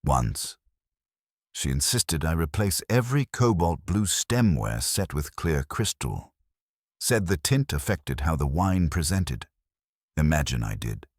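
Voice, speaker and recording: Man playing haughty male aesthete, very low voice, pompous and precise, lively intonation, audiobook dialogue. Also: measured pace, dry recording, studio quality, heavily acted. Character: haughty, affected male wine-event aesthete.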